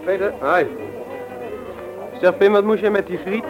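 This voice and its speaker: An actor playing a cocksure, bossy young ringleader in a radio play, instructing with firm emphasis. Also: male voice, high voice, fairly even intonation, unhurried, over music. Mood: scolding, bossy.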